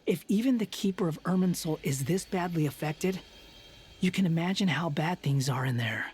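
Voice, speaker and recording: An actor playing a brisk, impatient male analyst in a video game, curt and businesslike. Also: a little high, expressive, a little mellow, a little hiss or hum, close to an everyday voice. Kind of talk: scripted video game voice acting.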